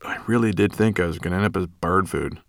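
A man's voice: low-pitched, steady tone, quick pace, dry recording, studio quality. A man voicing an earnest, friendly young boy in a storybook reading, eager in manner. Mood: relieved, grateful.

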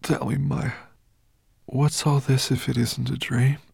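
A man voicing a gentle male dragon who has just woken and speaks softly, full of wonder. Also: mid-range voice, flat delivery, a little slow, vocal fry, breathy edge, no echo, studio quality, pitched above the performer's own voice, heavily acted. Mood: bewildered.

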